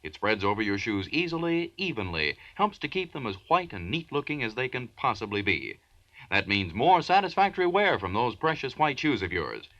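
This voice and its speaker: A man's voice: medium pitch, big pitch swings, normal speed, a bit bright, no room sound. An American man reading a 1940s radio advertisement in an emphatic selling manner. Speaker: commercial announcer. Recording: dull 1940s radio recording.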